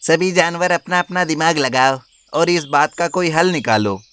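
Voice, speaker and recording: A clever, bold monkey from an animal storybook tale, played by a man. The voice sits a little high and comes through clean and crisp. It urges the others on. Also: normal speed, fairly bright tone, close to an everyday voice. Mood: urgent. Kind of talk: animated animal fable dialogue.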